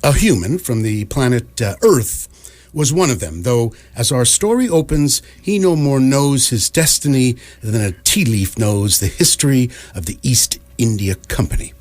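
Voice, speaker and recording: A man narrating a comic radio drama from a script in a measured, wry storytelling manner. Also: medium pitch, lively intonation, darkish tone.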